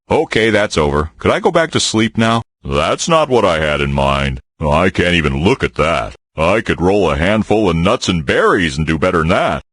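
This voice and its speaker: Deep husky grizzly bear for a board game, folksy and lazy, voice swoops all over the place, dry close sound. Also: male voice, a bit bright, no room sound, heavily acted. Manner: folksy, drawling, jokey asides. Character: folksy good-natured grizzly bear, male, big and lazy. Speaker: game character voice. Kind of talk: game voice lines.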